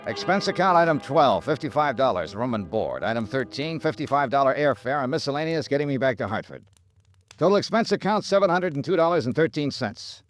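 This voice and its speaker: An American actor playing a tough, relentless, righteous insurance investigator in a 1950s radio drama, with a hard-boiled, insistent manner. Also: male voice, mid-range voice, animated, a little fast, soft background music, natural voice. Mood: dry, businesslike.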